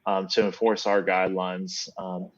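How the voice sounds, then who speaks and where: A man with a fairly low voice gives semi-formal remarks as a community association president. He speaks in a measured, halting way over slightly dull online meeting audio. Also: little pitch movement.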